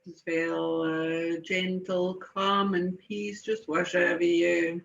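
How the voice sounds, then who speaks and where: A woman slowly guiding a meditation in a soothing, unhurried way, with lingering pauses. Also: lowish voice, level tone, creaky. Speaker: guided meditation leader. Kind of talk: slow guided meditation. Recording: dull, echoey room recording.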